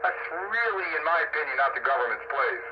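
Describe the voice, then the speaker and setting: A man's voice: medium pitch, animated, fairly quick. Blunt American man, noisy dull radio audio. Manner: blunt, emphatic. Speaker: radio discussion participant. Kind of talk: casual opinionated political chat. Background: strong background noise.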